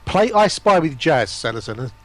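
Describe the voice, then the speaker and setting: Man, fairly high voice, casual stream chat, participant chipping in. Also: sing-song, normal speed, a bit bright.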